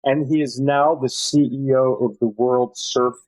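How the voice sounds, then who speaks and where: An older man speaking as a podcast guest, giving conversational advice in a measured, emphatic way with repeated key phrases. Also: medium pitch, steady tone, a little mellow, no room sound, poor audio.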